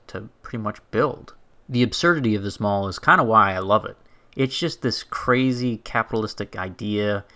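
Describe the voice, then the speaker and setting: Male vlogger, young, lowish bright voice, chatty and loose. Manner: chatty, conversational, with fillers and restarts. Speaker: travel vlogger. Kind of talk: casual narrated walking tour.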